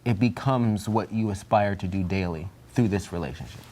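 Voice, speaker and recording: A man's voice: fairly low pitch, moderate pace, mellow, a little hiss or hum. A young man in a Bible study group, talking in an earnest, reflective way and sometimes searching for words. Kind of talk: discussion group chat.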